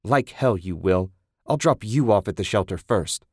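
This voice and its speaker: Man doing a shouting, furious wife. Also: on the low side, expressive, moderate pace, no echo, studio quality. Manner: shouting, defensive, raised voice. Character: angry, exasperated wife in a marital fight.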